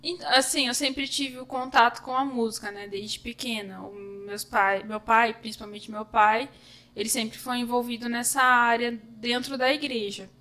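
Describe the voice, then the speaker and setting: A woman's voice: a little high, fairly even intonation, normal speed, sharp tone. A woman from São Paulo state with a Brazilian Portuguese accent, answering interview questions in a slightly hesitant way. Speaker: guest, music teacher. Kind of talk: casual interview.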